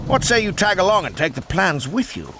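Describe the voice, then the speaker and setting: An actor playing a genial British senior officer in a video game, with a British accent and hearty, old-fashioned military politeness. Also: male voice, high voice, wildly swooping pitch, a little fast, very noisy. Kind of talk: video game cutscene acting.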